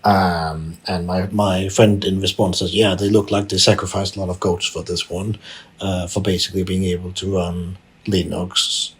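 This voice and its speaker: A man from Denmark with a very low voice and a strongly husky, rough texture. He talks as a podcast guest in an unscripted, conversational way. He stumbles through frequent restarts and repeated words. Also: little pitch movement, moderate pace. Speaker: podcast guest, tech executive. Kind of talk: conversational interview answers.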